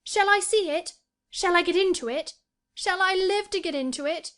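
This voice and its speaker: Woman as a frail, highly-strung boy, very high-pitched. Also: expressive, normal speed, a bit bright. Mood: overwrought delight. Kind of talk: acted storybook dialogue.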